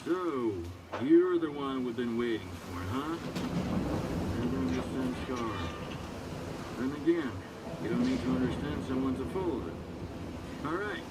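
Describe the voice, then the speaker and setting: An actor playing a brusque, wary gatekeeper in a video game cutscene. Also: male voice, mid-range voice, animated, measured pace, pauses often, natural voice. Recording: distant voice in loud wind and engine noise.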